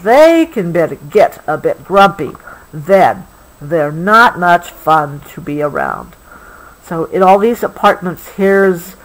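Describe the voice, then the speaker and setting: A woman reads a picture book aloud to a child, gently adding explanations as she goes. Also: lowish voice, poor audio. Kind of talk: read-aloud storybook with explanatory asides.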